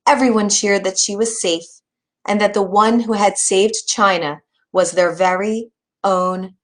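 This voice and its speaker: A woman storyteller reading a scripted bedtime story for children, at a medium pitch. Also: steady tone, unhurried.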